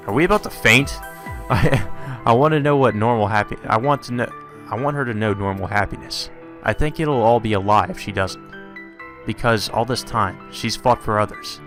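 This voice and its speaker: A man's voice: on the low side, fairly bright tone. A man doing a let's play, reading a visual novel aloud and breaking off into casual, sweary, incredulous commentary. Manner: irreverent, sweary, reacting incredulously to the script.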